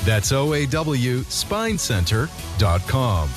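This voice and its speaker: A man's voice: medium pitch, sing-song, slow. A man reading a scripted radio advertisement as announcer. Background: music bed.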